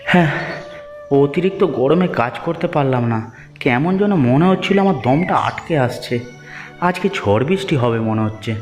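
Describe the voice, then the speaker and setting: A man's voice: mid-range voice, lively intonation, measured pace, lightly acted. A man voicing a poor, hardworking cartoon village husband, plain and earnest, who gives in to his wife. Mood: weary. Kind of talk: cartoon story dialogue. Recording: music behind the voice.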